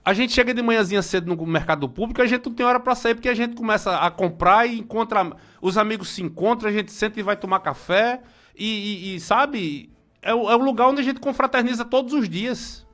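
A Brazilian man in his forties giving casual local commentary, chatty and nostalgic, with frequent restarts and shout-outs to friends. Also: sits high, fairly quick. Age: 40s.

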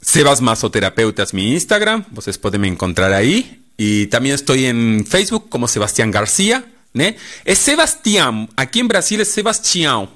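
A middle-aged Argentine man with a Spanish accent, a holistic therapist guest speaking deliberately in a radio studio interview. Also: mid-range voice. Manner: deliberate, lively intonation with frequent "né?".

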